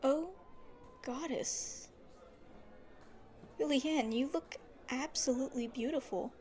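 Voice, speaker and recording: A woman playing a sweet, chatty young noblewoman in an audio drama, expressive and affectionate. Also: high voice, slow, faint music behind. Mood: delighted.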